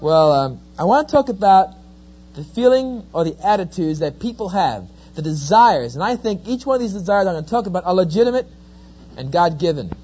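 A man's voice: high voice, fairly quick, slightly rough. A middle-aged man with a New Jersey accent, giving a sermon-style talk full of folksy, joking stories. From New Jersey, USA. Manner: folksy, joking, lively storyteller. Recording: dull lo-fi recording.

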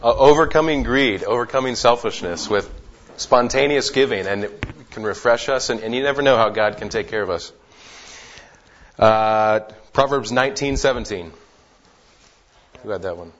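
A man's voice: medium pitch, normal speed, fairly bright tone. A man leading a group Bible study in a casual way, often repeating words as he gathers his thoughts. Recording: dull lo-fi sound.

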